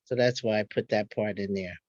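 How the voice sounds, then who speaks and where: A woman's voice: a bass voice, brisk, darkish tone, no echo. An older woman casually telling her recollections as a participant in a panel discussion, heard over a call. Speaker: workshop participant and writer. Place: remote call-in to online event. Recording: muffled, band-limited call audio.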